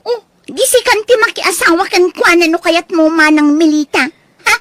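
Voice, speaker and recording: Woman acting a haughty young sister, high voice, Ilocano accent, snappish, dismissive, scornful, audio drama. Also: normal speed, a bit bright, no echo. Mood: disgusted, scornful. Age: young adult. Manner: snobbish, dismissive.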